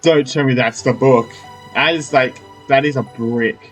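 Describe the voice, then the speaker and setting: A young man giving casual, halting gameplay commentary, reacting informally as he plays. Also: medium pitch. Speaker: gamer playing through a story quest. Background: game music.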